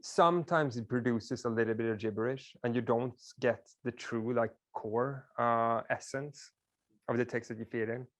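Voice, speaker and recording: A man's voice: medium pitch, normal speed, fairly bright tone. Swedish man lecturing casually. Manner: casual, explanatory, with filler "like". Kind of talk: classroom lecture.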